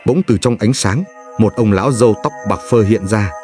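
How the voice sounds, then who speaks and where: Low-voiced man telling a fairy tale over music. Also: moderate pace. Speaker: storyteller. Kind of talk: scripted fairy-tale narration for a cartoon. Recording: music behind the voice. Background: background music.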